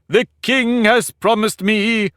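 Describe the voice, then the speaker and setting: Man doing a pompous storybook egg, high, loud, slow, grand. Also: sing-song, no room sound, studio quality, full character voice. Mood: haughty. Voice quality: boastful, argumentative, grand. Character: pompous, touchy talking egg, male. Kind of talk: audiobook character reading.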